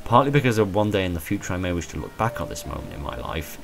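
Brief: young man, deep and hoarse, halting self-deprecating monologue, faint music underneath. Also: sing-song. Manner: hesitant, self-deprecating, repeats words. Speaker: channel creator. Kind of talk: rambling personal monologue. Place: small room at home.

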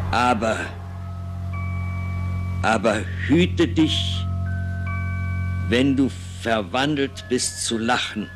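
An actor playing a humble, solemn old scholar in a puppet fairy tale, declaiming with theatrical reverence. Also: male voice, mid-range voice. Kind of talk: theatrical German puppet-play acting.

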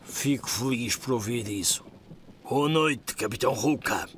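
A man's voice: mid-range voice, a little slow, fairly bright tone, a little hiss or hum, lightly acted. A man playing a kindly, playful older grandfather in a children's cartoon, with a European Portuguese accent. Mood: affectionate.

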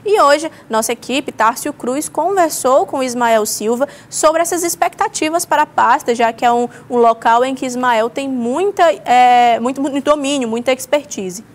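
A young woman political reporter explaining the day's news in a TV studio with a steady delivery. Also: high-pitched, moderate pace, hardly any pauses.

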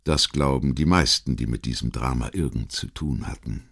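A man reading a scripted history documentary as an audiobook narrator, in a measured, literary delivery with deliberate phrasing. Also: rumbling low, vocal fry, fairly bright tone, husky.

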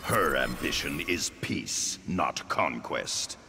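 An actor playing a grave, stern video game fighter with a gravelly voice. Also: male voice, extremely low pitch, animated, slow pace, exaggerated voice. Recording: reverberant, loud game noise.

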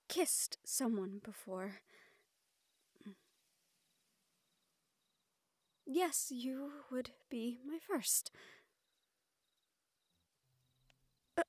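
A woman's voice: sits high, no room sound, clean studio sound. A woman playing a shy, sweet, self-conscious young nephilim woman in love, speaking hesitantly and tenderly in a romantic audio roleplay. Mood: flustered. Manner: hesitant, tender, breathy hushed passages.

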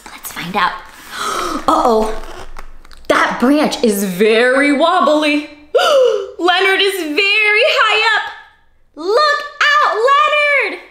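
Young woman, high pitch, very lively intonation, interactive storytime host, exclamatory delivery, small echoey room. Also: very slow. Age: young adult. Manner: animated, exclamatory, expressive with gasps and questions. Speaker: children's read-aloud host. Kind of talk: interactive picture-book storytelling for young children. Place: small cozy indoor room. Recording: roomy indoor echo.

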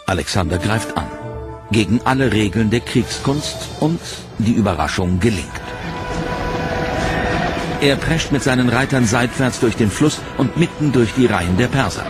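A man giving scripted, measured documentary narration in a dramatic tone. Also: mid-range voice, lively intonation. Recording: narration over loud music.

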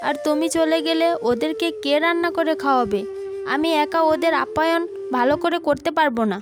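A very high-pitched, commanding older village matriarch acted by a woman. She is stern and insistent in cartoon family-drama style, with music playing behind her. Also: fairly quick, lightly acted. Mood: bossy, dismissive. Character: stern, bossy older village mother-in-law, favours her daughter.

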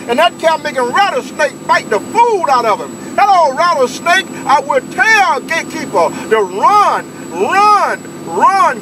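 A man bantering on CB radio in a teasing, repetitive, whooping manner. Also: very high voice. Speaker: CB radio operator. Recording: loud engine-like radio noise.

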